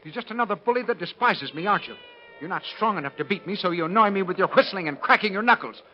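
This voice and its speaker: An unhinged, bitter husband in a 1930s radio melodrama. His voice is high and theatrical, sneering and agitated. The old recording is muffled. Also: expressive, fast talker, slight background noise. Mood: bitter rage. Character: unhinged, resentful, cowardly husband who turns killer.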